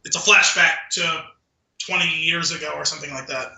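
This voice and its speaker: A man with a very bright, forward voice that sits high for a male speaker. He rambles conversationally as a podcast co-host in casual movie chat, recorded in an echoey room. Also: fairly even intonation, a little fast, gravelly. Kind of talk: casual chat about a film.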